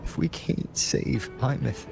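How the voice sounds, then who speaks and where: An actor playing a brooding, guilt-laden young hero of a video game, speaking in a subdued, hesitant, introspective way. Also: male voice, takes it slowly, a little mellow, natural voice. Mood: remorseful, subdued. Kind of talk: video game story dialogue acting. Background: game background music.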